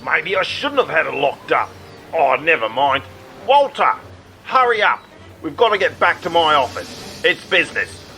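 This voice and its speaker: A man's voice: a little high, sing-song, normal speed, over music. A man performing several characters in a comic radio serial.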